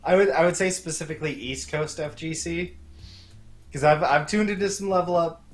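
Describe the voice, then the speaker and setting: A man's voice: a little high, brisk, soft background music. A man chatting casually as a co-commentator on a game stream, wry and joking, with some swearing. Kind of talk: casual chat.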